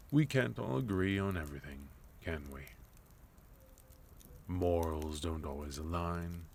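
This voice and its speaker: An extremely deep-voiced villain played by a man speaks very slowly and deliberately, as if every phrase were weighed. He is sophisticated and courteous, with a soft menace under the composure. It is an intimate ASMR roleplay delivery over faint background noise. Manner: measured, courteous, softly menacing, deliberate pauses. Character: sophisticated, composed male villain, leader of enemy organization.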